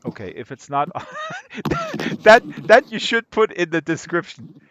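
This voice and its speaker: A man's voice: sits high, huge pitch swings, measured pace, fairly bright tone, slight background noise. A man with a German accent hosting a casual live stream, joking and teasing his guests as he chats. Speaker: host of a virtual-world tour stream. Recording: dull, lo-fi stream audio.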